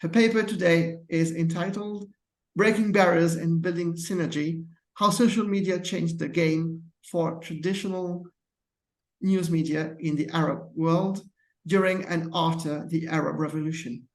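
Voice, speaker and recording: A man's voice: fairly high pitch, fairly even intonation, a little slow, roomy sound. A man chairing an academic workshop, reading a formal introduction of the next speaker.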